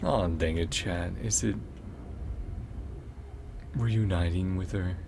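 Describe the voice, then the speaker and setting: Low, creaky-voiced man streaming. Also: slow, noisy background. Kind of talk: casual gaming reaction chat with viewers.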